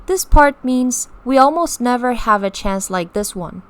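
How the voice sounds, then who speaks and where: A woman giving a scripted voice-over that explains song lyrics and language in a measured, patient way. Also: a little high, animated, over music.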